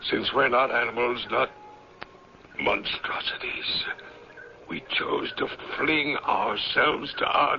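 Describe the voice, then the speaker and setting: An actor playing a defiant, dying old leader in a radio drama, speaking haltingly and solemnly in a gravelly voice. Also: male voice, mid-range voice, bright, forceful tone, a little hiss or hum, exaggerated voice. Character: old captain, leader of a secluded long-lived community, dying defiantly. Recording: muffled old radio recording, music behind.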